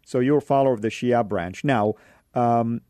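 Man, from Saudi Arabia, radio host, mellow tone, hesitant with many fillers, dry studio sound. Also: medium pitch, normal speed, dry recording.

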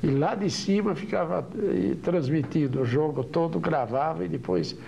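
An older man, a veteran broadcaster, reminiscing about his youth in an archival documentary interview, in a relaxed storytelling way. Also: fairly high pitch, normal speed, highs cut off. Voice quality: gravelly. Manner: relaxed storytelling with small restarts.

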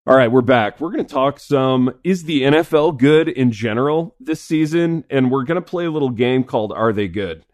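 A man hosting a sports podcast in a studio, his voice raspy this morning, chatting in a laid-back, chummy way. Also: mid-range voice, animated, moderate pace, hardly any pauses, no echo. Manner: laid-back, chummy, says dude a lot. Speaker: podcast host. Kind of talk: casual sports chat.

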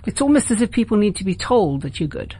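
A woman's voice: mid-range voice, very animated, fast talker, warm tone, slightly rough. A woman with a South African accent hosting a late-night radio interview in a conversational, rambling way, often circling back to rephrase.

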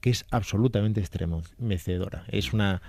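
Guy from on radio talking movies, lowish warm voice, calm and detailed. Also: creaky, no echo. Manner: detailed, explanatory, measured. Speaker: film commentator. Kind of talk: radio chat.

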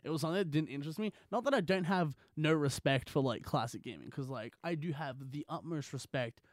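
A man's voice: a little high, expressive, a little fast, no echo, clean studio sound. A young man with an Australian accent hosting a podcast, chatting casually and jokily about video games in slangy speech. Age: young adult. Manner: jokey, slangy, exaggerated mock voices. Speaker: podcast host.

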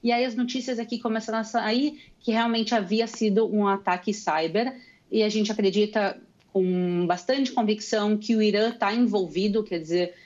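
A woman's voice: medium pitch, fairly even intonation, moderate pace, a little mellow, lo-fi sound. A young woman with a gaúcho Brazilian accent, earnestly explaining events as a guest over a remote call. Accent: gaúcho Brazilian Portuguese. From Rio Grande do Sul, Brazil. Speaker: guest commentator living in Israel. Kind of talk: call-in interview commentary.

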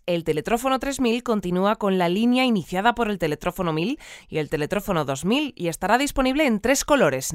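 An actress playing a formal, measured, unflappable radio newsreader in an audio drama. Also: female voice, mid-range voice, smooth, dry recording, close to an everyday voice. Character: composed female radio newsreader of a sci-fi community. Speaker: news anchor. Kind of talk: scripted news bulletin, fiction podcast. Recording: dry studio with music bed.

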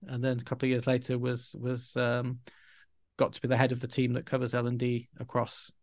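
A man's voice: mid-range voice, monotone, a little fast, darkish tone, dry recording, clean studio sound. A middle-aged man from Yorkshire with a Yorkshire accent, chatting as a podcast guest in a rambling, self-deprecating way. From Yorkshire, England. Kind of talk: casual interview talk.

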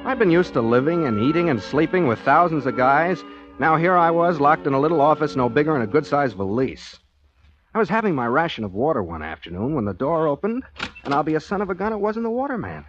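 A naive young American private eye in an old radio play. His voice sits fairly high and swings freely as he rambles politely along, on a muffled vintage recording with music behind. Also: sing-song, quick pace. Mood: wry, chatty. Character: eager, naive young ex-soldier turned private detective. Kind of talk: 1940s radio drama acting, chatty first-person narration.

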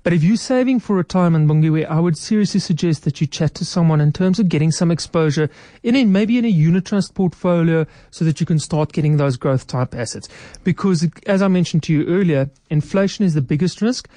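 A woman's voice: lowish voice, fairly quick, mellow, no echo. A woman, a financial adviser guest in a radio studio, explaining retirement saving step by step in a practical way. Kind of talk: radio studio advice talk.

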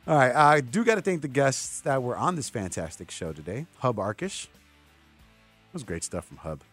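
A man's voice: medium pitch, very animated, normal speed, a bit bright, soft background music. A man hosting a sports radio show in a casual, conversational, loosely rambling way, close on a studio microphone. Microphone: close broadcast microphone.

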